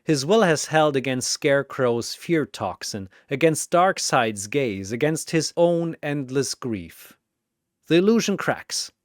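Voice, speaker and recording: A storytelling narrator reads a dramatic scripted battle tale at a slow pace. The delivery is animated in pitch, and the sound is close and dry. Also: dry recording.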